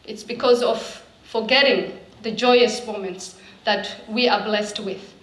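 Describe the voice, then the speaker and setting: A woman's voice: medium pitch, takes it slowly, reverberant. A woman giving an earnest, heartfelt public speech at a community event.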